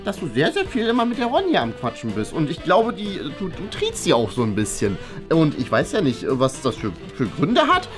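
A man's voice: on the high side, wildly swooping pitch, fairly quick. A wordy, diplomatic schoolteacher played by a man in a comedy sketch. His intonation swings widely as he hedges through tactful complaints, with faint music behind him. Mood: tactful, amused. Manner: hesitant, hedging, wordy. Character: talkative, diplomatic male schoolteacher gently airing complaints. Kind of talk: improvised comedy sketch acting. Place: small bright classroom-like office, indoors. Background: background music.

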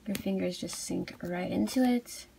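A woman giving a casual unboxing review as a video host, cooing softly over cute things. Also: mid-range voice, normal speed, vocal fry, a little hiss or hum, poor audio. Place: indoors at a tabletop.

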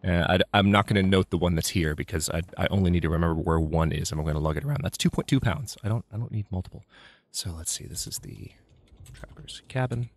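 A man's voice: low voice, fast talker, no echo. A man casually narrating his own gameplay as a let's play host, thinking aloud with restarts, sounding slightly congested.

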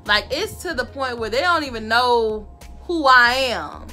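A woman, giving sassy, emphatic advice to camera in her bedroom, repeating her points, with a loud voice that carries. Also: a little high. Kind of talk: casual advice talk to camera. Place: bedroom, indoors.

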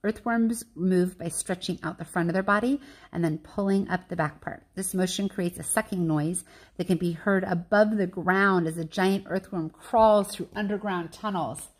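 A woman's voice: fairly low pitch, normal speed. A woman reading a children's picture book aloud like a teacher, explaining things with informal asides and questions to her listeners.

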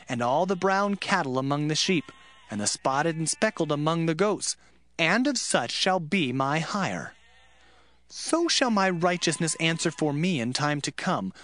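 An actor playing Jacob as an earnest, weary shepherd who pleads solemnly in archaic language in a dramatized Bible. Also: male voice, a little high, a bit bright.

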